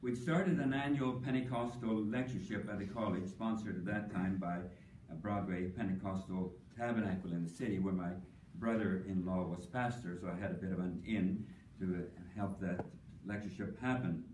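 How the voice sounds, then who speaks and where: An older man speaks at an academic tribute, reading prepared remarks in a measured way, sprinkled with ums and dry humor. He is heard from a distance in an echoey conference hall with a faint hum of ambience. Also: deep voice, fairly even intonation, darkish tone. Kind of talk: prepared tribute speech with anecdotes.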